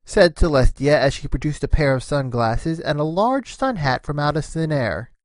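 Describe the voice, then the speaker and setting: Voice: medium pitch, animated, moderate pace, dry recording, clean studio sound. A narrator reading a scripted story between the characters' lines.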